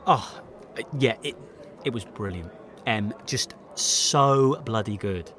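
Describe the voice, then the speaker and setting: A man's voice: on the low side, slow, fairly bright tone. A man playing a young, struggling British jobbing actor, self-deprecating, insecure and hesitant, in a naturalistic audio drama. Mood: admiring.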